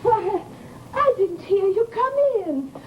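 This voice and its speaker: A woman's voice: extremely high pitch, lively intonation, slow, lo-fi sound, full character voice. An American actress playing a chatty, nosy, easily offended landlady in an old-time radio horror drama, with folksy, exclamatory speech. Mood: flustered. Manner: gossipy, folksy, exclamatory, huffy when slighted. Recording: noisy old radio recording.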